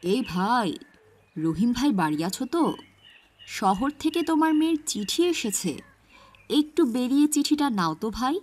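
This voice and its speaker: A woman voicing a busy, excitable male village postman with a hurried, exclaiming manner in an animated story. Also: on the high side. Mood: calling out.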